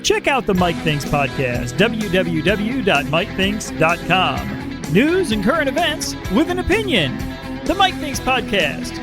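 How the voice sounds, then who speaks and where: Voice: mid-range voice, sing-song, a little slow, over loud music. An announcer reading a scripted promo for a news podcast.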